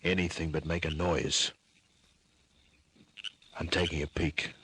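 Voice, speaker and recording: An Australian actor playing a tough, wisecracking private detective in an old-time radio crime drama, narrating in terse, clipped slang with a rough edge. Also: male voice, rumbling low, little pitch movement, slow, sharp tone, a little hiss or hum, heavily acted. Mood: terse, wary.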